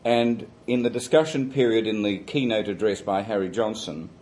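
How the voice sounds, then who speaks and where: A man, giving an academic seminar lecture as a guest speaker into a microphone. He talks loudly and deliberately, with frequent ums and uhs. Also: lowish voice. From Australia. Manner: loud, deliberate, hesitant with many fillers. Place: seminar room at a college.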